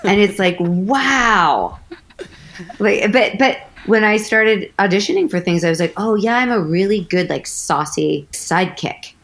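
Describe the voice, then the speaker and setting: A woman with a low voice, chatting animatedly as an actress guest in an interview, telling stories with lots of "like". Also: unhurried, fairly bright tone. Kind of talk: casual interview storytelling.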